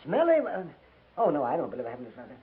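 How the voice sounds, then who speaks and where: A man playing a timid, nervous frontier storekeeper in a radio drama, stammering, dithering and clearing his throat. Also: mid-range voice, wildly swooping pitch, very fast. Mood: flustered, nervous. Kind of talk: 1950s radio character acting. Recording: tinny, narrow-band old radio recording.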